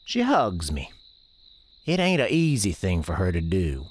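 A man narrating a radio play as a gentle country storekeeper, with folksy, colloquial phrasing. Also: sits low, wildly swooping pitch, unhurried. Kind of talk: first-person storytelling in a radio play.

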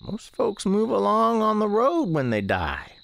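An actor playing a plainspoken, watchful country storekeeper, narrating a supernatural radio drama in a deliberate, homespun way. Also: male voice, high-pitched, huge pitch swings, gravelly, a bit bright, exaggerated voice. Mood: frank, knowing. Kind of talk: first-person radio drama narration and dialogue.

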